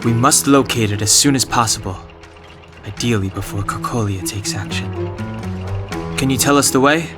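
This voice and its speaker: An actor voicing a serious, purposeful young male traveler in a video game, speaking in a measured, earnest way. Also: mid-range voice, lightly acted. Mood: serious, urgent. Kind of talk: scripted dramatic game dialogue. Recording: very loud music over the voice.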